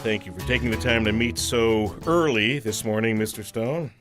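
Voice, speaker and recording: An actor playing a formal, humourless company auditor in an audio comedy, with a halting, officious, deadpan delivery. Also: male voice, lowish voice, over loud music, natural voice. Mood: deadpan, probing.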